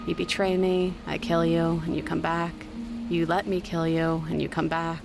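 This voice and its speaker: A wounded, distrustful princess in a horror game. Her voice sits low and crackles with fry, worn down and accusing, while music plays behind her. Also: level tone, normal speed. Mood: weary, bitter. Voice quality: worn-down, creaky. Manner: weary, accusing. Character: wounded, bitter captive princess, weary and distrustful. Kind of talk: dramatic game voice acting. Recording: music under the voice. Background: background music.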